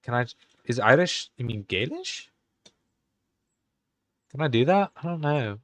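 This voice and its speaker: Young man, streamer, put-on Irish accent, casual muttering, mid-pitched, dry sound. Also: very animated, measured pace, no echo. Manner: putting on an Irish accent that slips; casual, muttering while typing. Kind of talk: live-stream chat while writing game commands.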